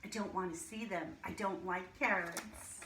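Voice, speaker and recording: Woman teaching little kids like a storybook read-aloud, really slow, bit of background noise. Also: fairly low pitch. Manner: simple, deliberate teacher talk addressed to young children, with questions. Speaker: early-grade teacher reading a picture book aloud to children. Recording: roomy echo, clear background noise.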